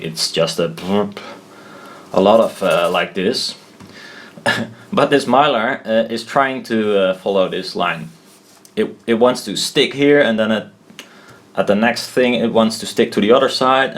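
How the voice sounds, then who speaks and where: A man giving an informal, unscripted technical explanation, haltingly thinking aloud as he goes. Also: fairly low pitch, a bit bright. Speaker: hobbyist explainer. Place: indoors at a desk.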